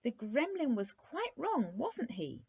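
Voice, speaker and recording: Woman reading as an eager young storybook princess, lifted pitch. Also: very animated, unhurried, dark tone, slightly rough, exaggerated voice. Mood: delighted. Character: eager, forthright young fairy-tale princess. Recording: dull, muffled lo-fi recording.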